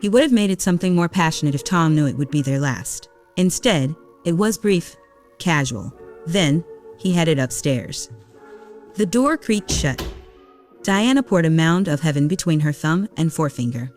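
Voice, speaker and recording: A woman's voice: sits low, lively intonation, normal speed, a little mellow, faint music behind. A woman reading scripted story narration for an audio drama.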